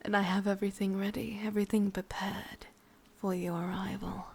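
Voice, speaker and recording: A woman voicing a sadistic, possessive forest witch in an ASMR roleplay, with an English accent, half-whispering and teasing in drawn-out phrases. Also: mid-range voice, flat delivery, gravelly, slightly rough. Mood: sly, gloating.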